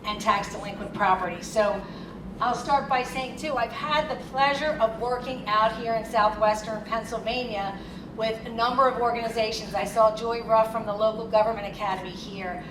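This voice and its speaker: A woman from Philadelphia delivers a chatty conference keynote in her Philly accent. Her voice sits a little high. The sound is distant and echoey, with noise in the room. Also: normal speed. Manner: lively, conversational and chatty. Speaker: keynote speaker. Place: conference hall. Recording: echoey hall, distant mic, noisy.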